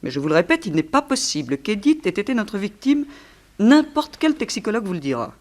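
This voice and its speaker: A woman's voice: mid-range voice, very animated, fairly quick, natural voice. An actress playing a self-possessed young woman under suspicion, formal, precise and coolly defiant in a radio drama. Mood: composed, defiant. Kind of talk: theatrical radio drama acting.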